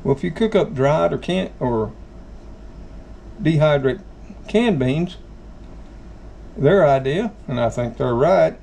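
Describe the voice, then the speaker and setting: Folksy Southern man with gravelly voice. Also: fairly high pitch, expressive, takes it slowly, pauses often, mellow, a little hiss or hum. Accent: Southern American. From American South. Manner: folksy, addresses viewers as folks. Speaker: channel host. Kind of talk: casual how-to talk. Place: home kitchen.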